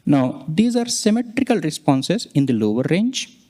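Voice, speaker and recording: A man presenting scientific results, explaining charts step by step and pausing mid-sentence to point things out. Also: fairly high pitch, big pitch swings, warm tone. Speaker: conference presenter, researcher.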